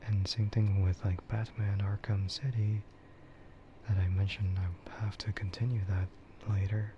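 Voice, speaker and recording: A man streaming ASMR in a soft, breathy whisper, chatting casually and unhurriedly in a meandering way that trails off. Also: on the low side, flat delivery, unhurried. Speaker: streamer hosting an ASMR livestream. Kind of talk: ASMR, rambling casual chat about games and anime. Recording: muffled lo-fi sound with clear noise.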